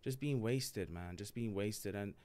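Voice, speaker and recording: A young man, born in Italy with Italian as his first language, chatting as a comedian guest on a podcast, animated and quick to laugh. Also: on the low side, no echo. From Sicily, Italy. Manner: animated storyteller, laughs often, acts out voices. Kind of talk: casual podcast chat.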